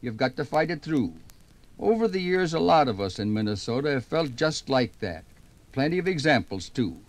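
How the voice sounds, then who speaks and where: A man's voice: mid-range voice, big pitch swings, normal speed. A man hosting in a folksy, chatty 1950s radio narration style, talking straight to listeners. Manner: chatty, conversational.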